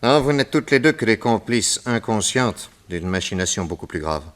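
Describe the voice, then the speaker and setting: Male detective, low voice, commanding, formal, probing, theatrical radio drama. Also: animated, moderate pace, fairly bright tone. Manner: commanding, formal, insistent questioning. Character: authoritative male American detective, brisk, probing and courteous.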